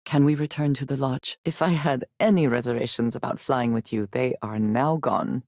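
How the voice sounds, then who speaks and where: A woman playing a guarded, earnest space explorer in a video game, speaking in measured, formal and precise phrases. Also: rumbling low, a little mellow, dry recording, studio quality. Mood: serious, guarded. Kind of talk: video game character dialogue lines.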